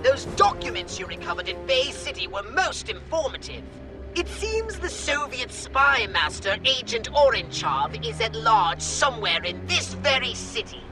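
A scheming, pompous alien commander from a video game. The voice sits very high and leaps around in pitch, savoring wordy, superior remarks. Music plays underneath. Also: male voice, sing-song, normal speed, exaggerated voice, rough recording. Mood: informative. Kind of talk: video game cutscene acting. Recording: music behind the voice.

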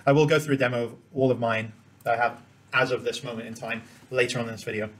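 Guy doing a spreadsheet walkthrough, talks quick, mid pitch, explains step by step as he clicks. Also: fairly even intonation. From Cambodia. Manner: explains step by step while demonstrating. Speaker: spreadsheet tutorial presenter. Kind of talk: informal screen-share software tutorial.

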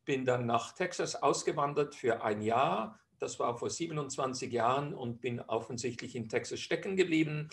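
A middle-aged Swiss man with a Swiss German accent gives an online lecture over slides. His voice carries a gravelly edge at a middle pitch, and he talks in a chatty, explanatory way with frequent hesitations. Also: normal speed, few pauses, slight background noise. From Switzerland. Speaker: physician presenter.

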